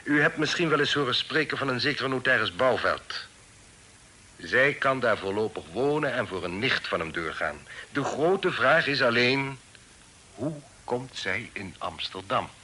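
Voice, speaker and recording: Proud middle-aged fugitive father, period radio drama: forceful, formal, commanding delivery, faint tape hiss. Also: mid-range voice, expressive, measured pace. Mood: grave, persuasive. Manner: formal, insistent, eloquent old-fashioned speech. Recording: hissy old radio play recording.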